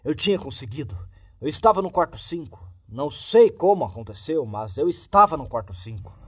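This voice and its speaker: A Brazilian man reading a horror story in a dramatic first-person narration, with deliberate, suspenseful phrasing. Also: a little high, very animated, fairly bright tone, slightly rough. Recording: music behind the voice. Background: background music.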